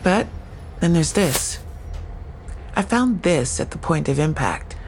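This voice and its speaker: Gravelly-voiced female police investigator. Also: deep voice, animated, takes it slowly, a little hiss or hum, full character voice. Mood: insistent. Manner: matter-of-fact, inquisitive. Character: female police investigator, troubled, persistent, plain-spoken. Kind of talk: audio drama dialogue.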